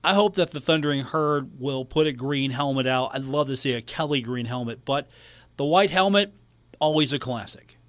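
A man's voice: medium pitch, moderate pace, a bit bright, no room sound. An American man talking as a sports radio host, delivering commentary and announcements into a close studio microphone. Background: music.

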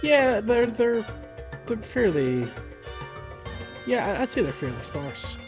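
A man's voice: high voice, big pitch swings, takes it slowly. A man co-hosting a podcast, explaining game details in a casual, hesitant way. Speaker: podcast co-host. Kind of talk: casual gaming discussion. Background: background music.

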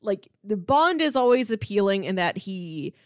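A woman's voice: mid-range voice, big pitch swings, moderate pace, no echo. Conversational woman, dry close sound. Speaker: podcast co-host. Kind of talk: casual film discussion.

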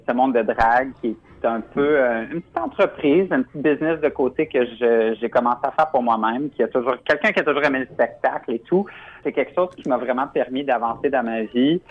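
A man speaks over a phone line that sounds muffled and slightly dull. He talks in a relaxed, chatty way, pausing on fillers and restarting phrases. His pitch sits in a middle range. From Quebec. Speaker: drag performer guest. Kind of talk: phone interview.

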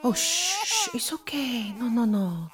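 Woman playing an anxious young wife, wildly expressive. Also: fairly high pitch, a little slow, a crowd in the background. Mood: upset, soothing. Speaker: actor in an audio drama. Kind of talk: dramatic audio drama dialogue. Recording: clearly noisy background with clicks.

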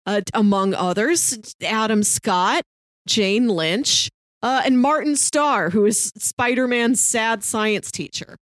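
Female podcast co-host, slightly high voice, very dry sound, casual conversational pitches. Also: takes it slowly, no echo. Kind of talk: casual chat and pitching shows.

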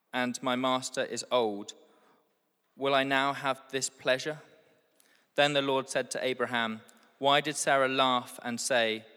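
Male scripture reader, bright and forceful tone, long hall reverb. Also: mid-range voice, clear, resonant tone. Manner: steady, measured reading. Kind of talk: reading scripture aloud.

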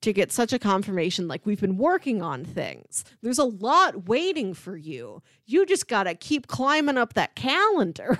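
A woman's voice: medium pitch, very animated, moderate pace, fairly bright tone, no echo, clean studio sound. A woman co-hosting a casual podcast, chatting in an enthusiastic, effusive way. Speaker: podcast co-host.

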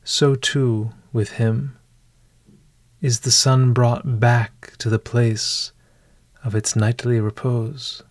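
A man narrating a scripted bedtime meditation as a podcast host, in a hushed, deliberate manner with long pauses. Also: mid-range voice, little pitch movement, no room sound.

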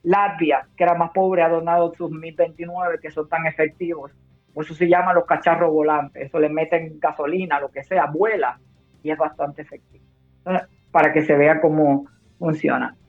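A woman's voice: low voice, fairly even intonation, normal speed, faint music behind. A woman from Cuba, a war correspondent and nurse, speaking emphatically and with urgency in long turns during a phone interview. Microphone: phone call. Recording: tinny phone line.

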